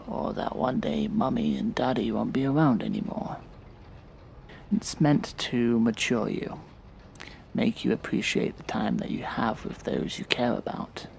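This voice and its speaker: An actor playing a weary, resentful man of about thirty in an audio drama, speaking in a bitter, sardonic and deliberate way. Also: medium pitch, gravelly, a little mellow, slight background noise, full character voice. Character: weary, resentful thirty-year-old man confiding in a therapist. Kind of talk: audio drama acting, monologue.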